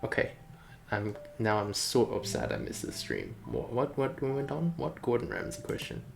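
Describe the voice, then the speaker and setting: A man's voice: medium pitch, huge pitch swings, normal speed, creaky. A man chatting casually on a livestream while he works, rambling and thinking aloud as a game developer. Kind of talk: casual chat while working on stream. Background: music playing behind the voice.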